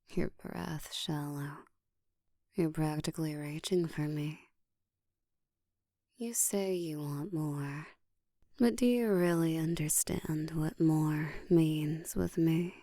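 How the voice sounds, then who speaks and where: Woman as a slow, whispering seductive vampire. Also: low-pitched, mellow, no room sound, clean studio sound, exaggerated voice. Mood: taunting, controlled. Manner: breathy whispering, slow deliberate teasing delivery. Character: dominant, seductive ancient female vampire, hungry yet controlled. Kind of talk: intimate whispered ASMR audio roleplay.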